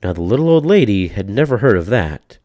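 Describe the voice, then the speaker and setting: A man reads a children's story aloud in a low, mellow voice. His delivery is expressive and rhythmic, with animated intonation. Each phrase is placed deliberately. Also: dry recording, studio quality. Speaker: storybook narrator. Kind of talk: children's read-aloud, scripted narration.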